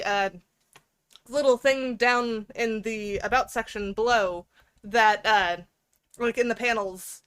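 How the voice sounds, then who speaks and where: A woman's voice: a little high, a bit bright, no room sound. A woman hosting a livestream, chatting casually with viewers in a playful, giggly, rambling way. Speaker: livestream host.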